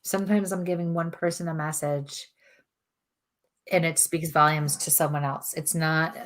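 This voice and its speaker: A woman medium giving spirit messages on a live show in a calm, earnest way, her throat strained as she loses her voice. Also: deep voice, moderate pace. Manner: calm, earnest, relays messages in repeated "I feel like" phrasing. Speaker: psychic medium and co-host. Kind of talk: live chat-room spirit readings.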